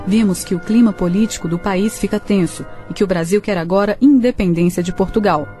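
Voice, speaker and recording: A Brazilian woman narrates a historical radio serial from a script. Her voice is medium in pitch and mellow, with soft music behind it. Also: fairly quick.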